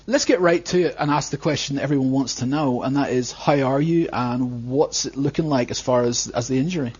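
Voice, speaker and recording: A man's voice: medium pitch, fairly quick, darkish tone, husky, no echo. A man hosting a podcast, introducing an interview in a loose, rambling way. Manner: rambling, with many restarts and fillers. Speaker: podcast host. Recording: dull sound.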